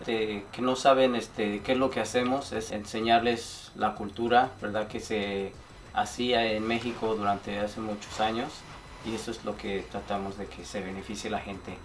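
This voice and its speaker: A man's voice: lowish voice, level tone, a little fast. A man explaining things in an interview, recorded away from the mic with noise around him. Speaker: cultural group member who teaches traditions. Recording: distant mic, clear ambient noise.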